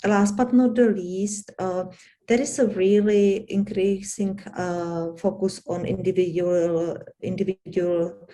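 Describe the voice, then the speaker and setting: A woman with a Czech accent giving a panel presentation, halting often with fillers and restarts. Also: medium pitch, steady tone, dark tone. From Czech Republic. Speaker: academic panelist.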